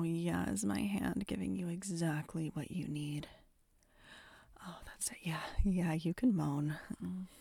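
A woman whispering softly and intimately close to the mic, in a slow, hushed audio roleplay. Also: lowish voice, creaky, husky, no room sound.

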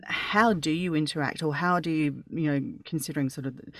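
A man's voice: a little high, a little fast, fairly bright tone, no echo. A man with an Australian accent hosting a casual interview, asking rambling, hesitant questions full of fillers. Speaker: podcast host, audio creator.

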